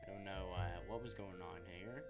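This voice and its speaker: A man with a raspy voice who says he is getting sick, rambling and unsure of himself, narrating his game in a casual voice-over through a headset mic. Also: low voice, normal speed. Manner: rambling, self-interrupting, unsure. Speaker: gaming YouTuber narrating. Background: game music.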